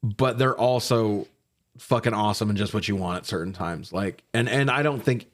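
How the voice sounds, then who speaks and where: A man's voice: fairly low pitch, normal speed. A man co-hosting a film review show in casual banter, talking informally with some mild swearing. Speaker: podcast co-host reviewing films.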